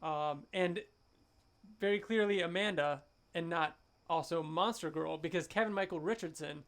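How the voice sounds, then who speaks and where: A man co-hosting a podcast, chatting casually and with excitement, thinking aloud and stumbling over hard names. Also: a little high, moderate pace. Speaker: podcast co-host. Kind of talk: casual chat.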